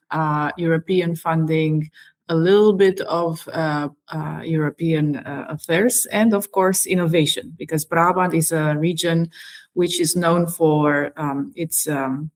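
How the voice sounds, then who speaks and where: A woman speaks as an interview guest. She explains things conversationally and in a measured way, pausing between phrases. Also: low-pitched, fairly even intonation. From Romania. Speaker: podcast guest, regional government EU funding professional.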